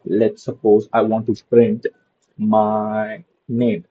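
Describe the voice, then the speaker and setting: A man's voice: on the low side, monotone, takes it slowly, husky, narrow, tinny audio. A young man giving a casual screen-share coding lesson, padding his talk with "okay" and "so". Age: young adult. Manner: loose, repeats "okay" and "so" a lot. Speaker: programming tutor.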